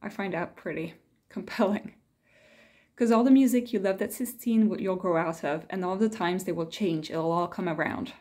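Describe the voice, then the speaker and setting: A woman's voice: lowish voice, moderate pace, darkish tone. Woman, casual vlogger, hesitant, rambling, reflective, reading quotes aloud, musing delivery.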